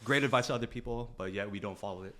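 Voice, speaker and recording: A man's voice: on the low side, rapid-fire, bright, forceful tone. Agreeable, casual male podcast co-host. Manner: agreeable, repeats short affirmations, often adds "you know what I mean". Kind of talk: casual, conversational.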